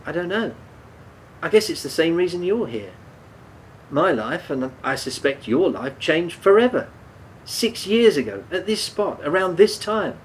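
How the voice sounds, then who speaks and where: A man's voice: a little slow, rough recording. An actor in a radio play playing a remorseful, defensive man who speaks hesitantly, stumbling and repeating himself. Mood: apologetic, guilty. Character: remorseful, defensive man haunted by a fatal drunk-driving crash. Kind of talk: naturalistic radio play dialogue. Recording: steady background noise, low rumble.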